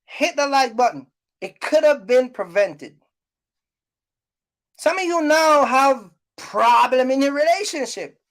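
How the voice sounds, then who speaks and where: Female YouTube host, higher-pitched voice, blunt scolding advice, off-the-cuff and repetitive for emphasis. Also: expressive, slow. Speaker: YouTube host giving trucking business advice. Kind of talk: casual unscripted rant to viewers.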